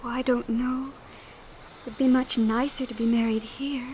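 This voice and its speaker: A woman's voice: a little high, steady tone, moderate pace, a little mellow, lightly acted. An actress in an old-time radio drama playing a naive, spoiled young heiress, flighty and chillingly blithe, with a girlish, pouty, airy manner. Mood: airy, dreamy. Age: young adult. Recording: noisy vintage radio broadcast recording.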